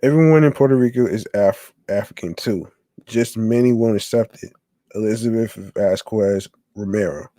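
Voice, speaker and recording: A man with a lowish, mellow voice reads a long text aloud on his podcast. He moves very slowly, often stumbling and restarting mid-word. The sound is close and dry. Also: dry recording. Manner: halting reading, stumbling and restarting over words. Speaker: podcast host.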